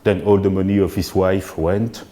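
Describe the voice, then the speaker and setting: A man, giving a live keynote talk at a synth meetup in a relaxed, self-deprecating way with frequent restarts. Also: sits low, normal speed. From Belgium. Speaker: keynote speaker. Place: event venue before an audience.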